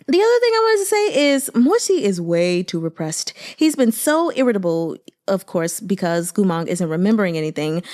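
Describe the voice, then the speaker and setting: A woman's voice: fairly low pitch, darkish tone. A woman chatting informally and expressively about a book, in a casual commentary style full of asides.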